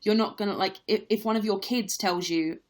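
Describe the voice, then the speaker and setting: A woman's voice: mid-range voice, fairly quick. A young woman who acts on stage, chatting candidly in a live-stream Q&A with lots of fillers. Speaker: stage actress livestreaming a Q&A. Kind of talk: casual live-stream chat.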